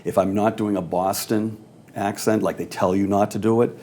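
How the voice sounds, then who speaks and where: A man co-hosting a podcast, chatting casually and asking curious questions with quick asides. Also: on the low side, fairly even intonation, warm tone, slight background noise. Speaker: podcast co-host. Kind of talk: casual conversation.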